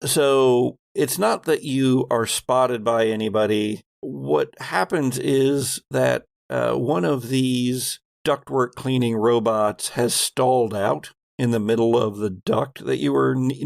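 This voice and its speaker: A man's voice: medium pitch, slow, vocal fry, hardly any pauses, dry recording, studio quality. A man narrating and refereeing a tabletop role-playing game as game master, explaining things conversationally.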